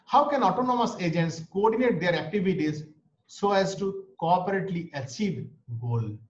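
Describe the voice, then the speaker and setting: An Indian man with an Indian English accent teaches an online class, his high voice jumping up and down as he states each idea and then says it again. He talks into a webcam mic in a small room, and the sound is muffled and roomy. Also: animated, normal speed. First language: Bengali. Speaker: lecturer. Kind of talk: online lecture. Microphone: webcam or laptop mic on a video call. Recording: roomy, dull video-call audio.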